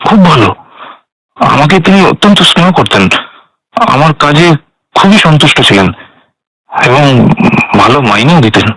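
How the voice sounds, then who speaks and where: A man's voice: on the high side, sing-song, normal speed, long pauses, sharp tone, dry recording, full character voice, poor audio. An actor playing a guarded, polite male secretary in a radio drama, answering carefully and defensively. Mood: defensive, earnest.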